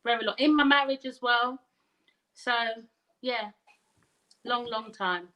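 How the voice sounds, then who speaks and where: A woman, a guest on a video call, talking slowly and repeating herself in casual chat. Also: fairly high pitch, highs cut off, slight background noise. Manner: slow, drawn-out, repeats herself.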